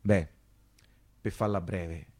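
A man's voice: deep voice, fairly even intonation, slow, warm tone. An actor playing an anguished working-class Roman man who recounts wartime life in a theatrical, colloquial monologue full of insistent repetition. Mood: hushed, ominous. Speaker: stage storyteller and actor. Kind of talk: theatrical first-person monologue for radio theatre.

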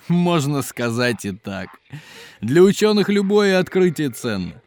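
Hospitable geologist-cook, higher-pitched man's voice, animated, hearty, educational children's radio play. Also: slow. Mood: enthusiastic explaining. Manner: animated, chuckling, explanatory, hearty. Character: hospitable, jolly male polar geologist doubling as station cook. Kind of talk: children's educational audio drama.

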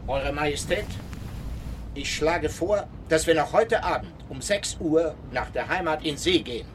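Actor as a deferential, slightly distant naval officer. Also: male voice, fairly high pitch, measured pace, natural voice. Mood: formal, urgent. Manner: respectful, formal. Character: deferential, formal male naval officer advising his emperor. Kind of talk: historical radio drama acting. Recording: slightly distant, clear outdoor background noise.

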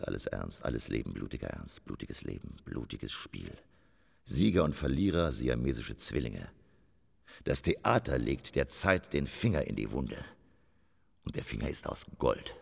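A gravelly, weary old stage actor. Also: male voice, heavily acted. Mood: grim, declamatory. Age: older. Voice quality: gravelly, breathy low voice. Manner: slow, theatrical, brooding delivery. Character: weary, grief-stricken old stage actor, theatrically bitter. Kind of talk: poetic radio drama monologue.

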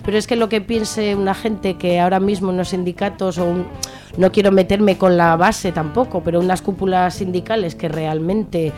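Lower-pitched outspoken woman from Spain. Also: steady tone, normal speed, a little mellow, faint music behind. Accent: Spanish from Spain. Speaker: union activist, interview guest. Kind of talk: informal radio interview answers.

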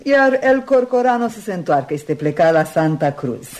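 A woman's voice: fairly low pitch, sing-song, a little mellow. An actress playing a wry, knowing woman host in a Romanian radio play. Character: wry, sardonic woman host serving a guest. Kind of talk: Romanian radio theatre acting.

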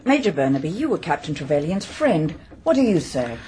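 A woman's voice: low-pitched, big pitch swings, a little fast, dark tone. An actress playing a formal, disapproving middle-aged English society lady in a radio mystery, with a reluctant manner. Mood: uneasy, reluctant. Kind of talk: radio mystery drama acting. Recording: faint background noise.

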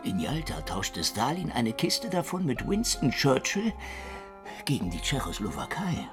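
An actor in a German radio drama playing a sardonic, hard-drinking, wisecracking private detective with a theatrical drawl. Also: male voice, medium pitch, huge pitch swings, a little slow. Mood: savoring, boozy. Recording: music behind the voice.

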